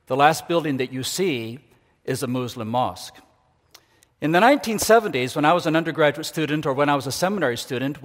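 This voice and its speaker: Older man preaching in a reverberant hall. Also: on the high side. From Ecuador. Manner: earnest, measured, reflective. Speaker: guest preacher, university leader. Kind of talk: sermon. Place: church sanctuary. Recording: long reverb of a large hall.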